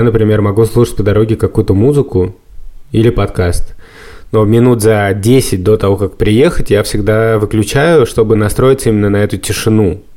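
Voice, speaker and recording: A man's voice: on the low side, dark tone. A man co-hosting a podcast, chatting and telling stories in a conversational way. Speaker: podcast co-host. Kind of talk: casual podcast chat, storytelling.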